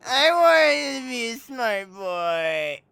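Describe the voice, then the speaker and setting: A man's voice: very high-pitched, sing-song, slow, vocal fry, bright, forceful tone, clear, resonant tone, dry recording. A man streaming a video game in casual chat, joking and complaining melodramatically.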